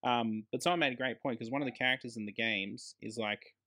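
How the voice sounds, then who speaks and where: A man's voice: medium pitch, fairly even intonation, a little fast, pushed and bright. Exasperated, slangy man ranting about films. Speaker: podcast co-host. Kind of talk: casual film review chat.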